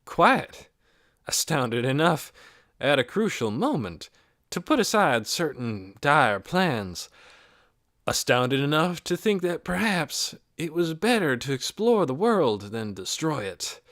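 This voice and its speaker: A man's voice: a little high, sing-song, slow, a bit bright, no echo, clean studio sound, heavily acted. A man voicing an eccentric, secretive male astronomer in an audiobook, intense and emphatic, with clipped musing phrases. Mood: guarded, proud. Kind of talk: audiobook character voice.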